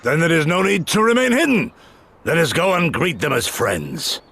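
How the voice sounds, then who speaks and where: Game role: boisterous elderly warrior, archaic and theatrical, gravelly, wildly swooping delivery. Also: male voice, fairly high pitch, wildly swooping pitch, normal speed, sharp tone, heavily acted. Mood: hearty, proclaiming. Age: older. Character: boisterous old samurai general, grandiloquent and archaic. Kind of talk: video game voice acting.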